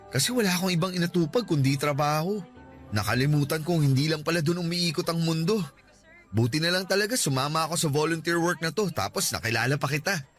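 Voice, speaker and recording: A shy, sincere young man who talks softly and earnestly, as an actor plays him, his voice a touch above the usual pitch for a man. He speaks in a classic radio-drama style. Music runs under his lines. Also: natural voice.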